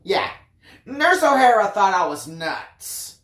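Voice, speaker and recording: A woman reading a first-person story aloud in an expressive, dramatic narrating style. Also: medium pitch, slow, reverberant. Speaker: audiobook reader.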